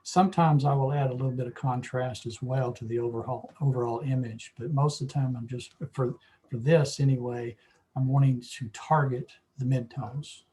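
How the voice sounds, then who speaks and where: A hoarse man teaching a photo-editing lesson, explaining at an easy pace with lots of fillers. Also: mid-range voice, moderate pace, low-quality recording. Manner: relaxed, explanatory, with frequent fillers. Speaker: photography club instructor. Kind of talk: screen-share software tutorial.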